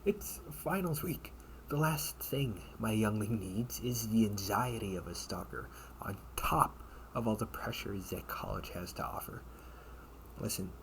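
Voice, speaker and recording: A man playing a protective older brother and taunting captor in an amateur audio roleplay, sardonic and menacing, with casual, halting delivery. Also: lowish voice, animated. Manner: casual, halting, mocking, breathy asides. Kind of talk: amateur audio roleplay acting, one-sided dialogue. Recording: noisy amateur home recording.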